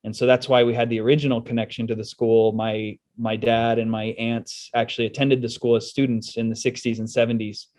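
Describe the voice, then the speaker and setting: A middle-aged man with an American accent, giving measured, explanatory answers as a guest in a casual interview. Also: mid-range voice, level tone, a little mellow, dry recording. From United States and South Korea. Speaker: school sports director, interview guest.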